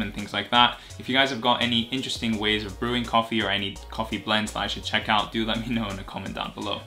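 A man's voice: mid-range voice, sharp tone. Upbeat young man chatting to camera. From Greece. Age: 20s. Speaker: YouTuber and medical student. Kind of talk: casual vlog to camera. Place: living room, seated on a sofa. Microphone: camera at medium distance.

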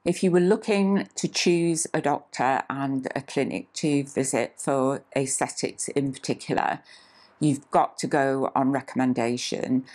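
A woman in her sixties, a clinic manager, talking calmly and steadily with frequent "uh" fillers, seated in a small clinic room. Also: a bass voice. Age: 60s. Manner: calm, measured, with frequent "uh" fillers. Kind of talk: scripted-feel promotional interview talk.

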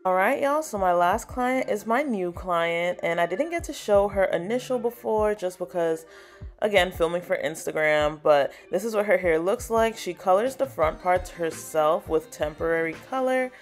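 A woman's voice: on the low side, darkish tone, slight background noise. A woman hairstylist narrating her salon vlog in a casual, chatty way, walking viewers through her steps. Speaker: natural hairstylist.

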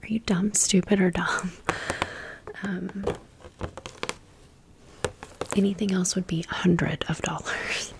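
An American woman hosting an ASMR storytime in a breathy whisper, with a casual, sassy, slangy way of talking. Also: fairly low pitch, steady tone, unhurried, background noise. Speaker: ASMR video host.

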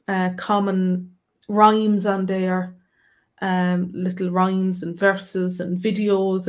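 A young woman chats on a live video call from home, recommending resources. She speaks at a middling pitch, with plenty of ums and restarts. Her delivery stays relaxed and conversational. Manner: chatty, with many ums and restarts. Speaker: co-host of a live Q&A. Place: home room, indoors. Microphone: webcam, video call.

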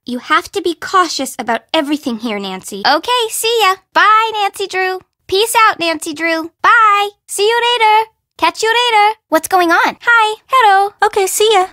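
An actress playing a dramatic, friendly young woman in a video game, expressive and informal. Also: very high-pitched, moderate pace, dry recording, studio quality, heavily acted. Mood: worried, pleading. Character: perky, dramatic young woman, friendly but easily spooked. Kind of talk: scripted game dialogue.

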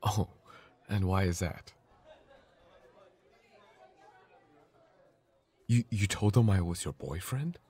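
A man acting a gruff, guarded young bully softening toward his sweetheart, in an intimate, halting ASMR roleplay, often near a whisper. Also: low-pitched. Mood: startled, flustered. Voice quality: husky, gravelly, breathy voice.

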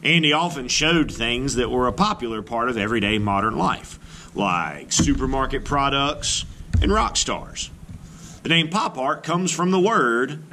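A man reading a children's book aloud as an art teacher, with expressive, emphatic delivery. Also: mid-range voice, measured pace, a little hiss or hum.

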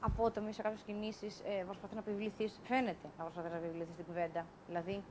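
A woman's voice: mid-range voice, little pitch movement, a little mellow, slight background noise. A young woman, a reality show contestant, earnestly explaining her view and groping for words. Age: young adult. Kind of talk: frank one-on-one talk. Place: indoor kitchen area of a reality TV house.

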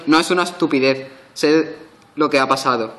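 A man's voice: a little high, normal speed, bright, forceful tone. A man playing a grave, insistent old man who is secretly a ghost, telling a story solemnly in an amateur audio drama.

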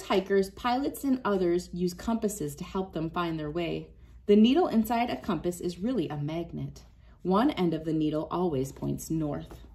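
A woman's voice: fairly low pitch, dark tone. Woman reading aloud to young children. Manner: instructive, addressing young children. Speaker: children's read-aloud host. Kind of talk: reading a picture book aloud with casual demonstration asides. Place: small wood-panelled room indoors.

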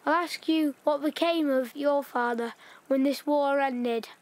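A woman's voice: high voice, unhurried, no room sound. A woman voicing an eager young boy in wartime Yorkshire, asking wondering questions in a radio play. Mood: reflective.

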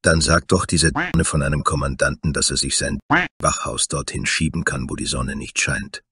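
Man acting as gruff warrior, extremely deep, husky, blunt, fantasy audio story. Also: steady tone, moderate pace, sharp tone, no room sound, full character voice. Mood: indignant.

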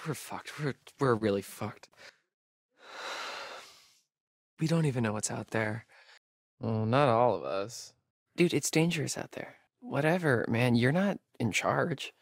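A man's voice: mid-range voice, lively intonation, moderate pace, no echo, clean studio sound. A young man with an American accent acting a wisecracking, nervy camp counselor in a video game, with casual, hesitant delivery. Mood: anxious.

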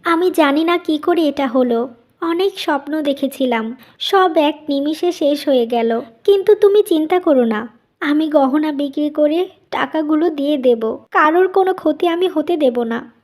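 A woman's voice: sits high, normal speed, a little mellow, lightly acted. A woman playing an earnest, often distressed young wife in a melodramatic family cartoon, pleading in her way of talking. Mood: sorrowful, resolute.

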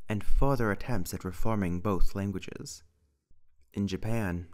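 Low-voiced man narrating a history explainer. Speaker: educational video narrator. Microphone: voice-over.

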